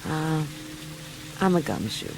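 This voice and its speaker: A woman's voice: sits low, sing-song, slow pace, music in the background, exaggerated voice. An actress playing a tough, wry woman private detective in a radio play, speaking in an offhand, slangy way. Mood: guarded, matter-of-fact.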